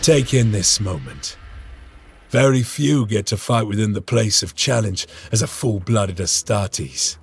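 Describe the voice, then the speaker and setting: Gravelly rough space-knight lieutenant, barks orders, voice swings up and down a lot. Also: male voice, on the low side, expressive, unhurried, soft background music. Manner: commanding, barks orders in battle. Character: stern male space-warrior lieutenant, battle leader.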